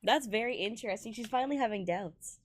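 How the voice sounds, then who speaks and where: A woman streaming a game with casual, excited commentary, slangy and sweary in an expressive way. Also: fairly high pitch, fast, soft background music.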